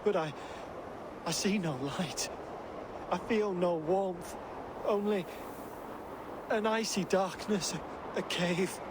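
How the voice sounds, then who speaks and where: Slow, high-voiced dying king. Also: background noise. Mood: fading, frightened. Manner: halting, theatrical, drawn-out delivery. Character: proud, dying Saxon king, pleading and fearful. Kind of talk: dramatic video game acting.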